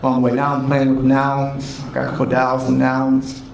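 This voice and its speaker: A man, a developer on a conference panel, talks haltingly and fills his pauses. His voice is flat and even. He is heard from a distance in an echoey hall, with faint crowd ambience behind him. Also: mid-range voice, vocal fry, slight background noise. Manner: halting, many fillers. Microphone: handheld mic, picked up from afar.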